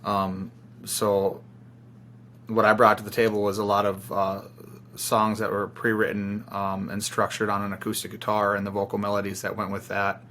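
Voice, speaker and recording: A man's voice: lowish voice, monotone, moderate pace, pushed and bright, slight background noise. A man chatting casually as an interviewed musician, rambling informally and piling up phrases. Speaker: band singer-songwriter being interviewed.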